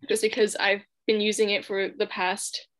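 A woman's voice: mid-range voice, level tone, moderate pace, a bit bright, a little hiss or hum. Chatty young woman from Hong Kong. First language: Cantonese. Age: young adult. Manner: chatty, laughs often, many fillers. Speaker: guest. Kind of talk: casual interview chat.

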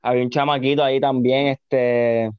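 Chill puerto rican dude, early 20s, smooth clear voice, swears every other word, dull dry recording. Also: male voice, medium pitch, flat delivery, moderate pace, no echo. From Puerto Rico. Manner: laid-back, slangy, swears constantly. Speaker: podcast guest. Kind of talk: casual chat.

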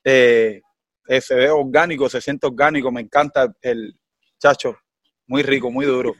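A young Cuban man with a Cuban accent chatting as a guest in an interview, animated and full of fillers like "you know.". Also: mid-range voice, moderate pace, dry recording, clean studio sound. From Cuba. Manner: animated, heavy fillers like "tú sabes" and "¿me entiendes?". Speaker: guest singer. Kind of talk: casual interview chat.

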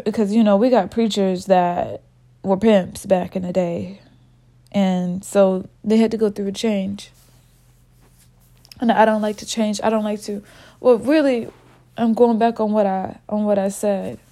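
Casting: woman podcast host, slow unhurried delivery, slightly sniffly from a cold, candid and informal. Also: medium pitch, mellow. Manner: candid, informal, talks straight to listeners as y'all. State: a little sick, sniffly. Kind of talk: spiritual podcast monologue.

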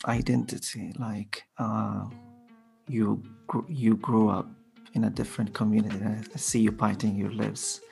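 A man's voice: lowish voice, flat delivery, creaky, a little mellow, husky, faint music behind. A man asks long interview questions, hesitating and repeating words as he goes. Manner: halting, repeats words while asking.

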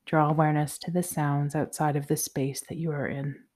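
Woman, meditation guide, low mellow voice, soothing, measured, soft-spoken. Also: little pitch movement. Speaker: yoga nidra guide. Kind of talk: guided meditation, slow instructions.

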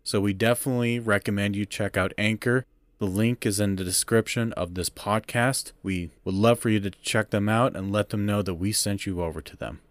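A man hosting a podcast, introducing his guest and asking questions in a measured, emphatic way. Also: lowish voice, steady tone. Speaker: podcast host. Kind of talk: casual podcast interview.

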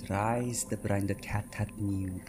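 A commanding, sinister witch acted out by a man chants in a theatrical Shakespeare reading. The lines come slowly and deliberately, like an incantation, over clearly audible ominous music. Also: fairly low pitch, steady tone, a little mellow. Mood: ominous chanting.

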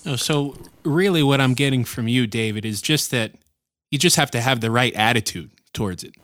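A man's voice: mid-range voice, moderate pace, a bit bright. A man hosting a podcast, chatting easily, asking questions and affirming his guest. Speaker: podcast host. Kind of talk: casual interview chat. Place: Ecuador.

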